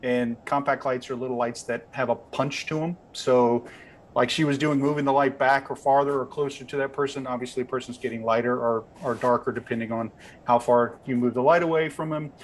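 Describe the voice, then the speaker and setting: A man's voice: medium pitch, steady tone, fairly quick, a little hiss or hum. A man casually explaining equipment as a lighting company representative, speaking indoors on an online call. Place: indoors beside a window.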